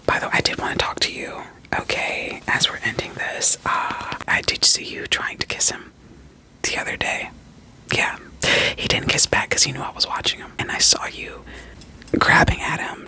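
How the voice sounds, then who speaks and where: Soft ASMR whisper, playful therapist roleplay. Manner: soothing, reassuring, playful, with gentle check-in questions. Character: doting, quirky therapist evaluating a vampire client.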